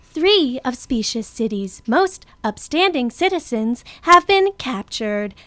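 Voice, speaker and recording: Literal-minded computer, woman voicing; slow, high, dry. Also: dry recording, heavily acted. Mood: matter-of-fact. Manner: flat precise reporting tone. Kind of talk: student radio drama acting.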